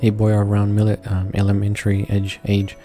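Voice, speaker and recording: A man with a fairly low voice reads a visual novel aloud for a casual gaming video. His delivery is extremely flat and a little halting, as he trips on words and corrects himself. Music plays clearly behind his voice. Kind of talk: casual read-along narration with asides.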